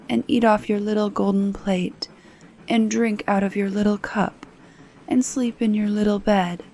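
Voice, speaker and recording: An earnest storybook frog, acted out by a woman, bargains and pleads in formal fairy-tale speech. The delivery is slow and unhurried, with some background noise. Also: medium pitch, mellow, close to an everyday voice. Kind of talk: bedtime fairy-tale reading.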